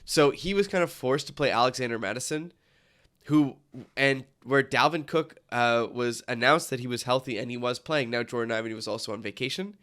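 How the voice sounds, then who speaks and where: A man's voice: medium pitch, fairly quick, fairly bright tone, clean tone. A young man with a cold and a stuffy nose, hosting a casual sports podcast and rambling with many fillers and restarts. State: congested from a cold. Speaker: podcast host.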